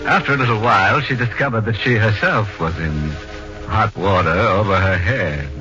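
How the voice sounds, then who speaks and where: A man's voice: lowish voice, normal speed, pushed and bright. A man narrating a 1940s radio mystery as its host, in a wry, macabre, theatrical way. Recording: muffled old radio recording. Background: music.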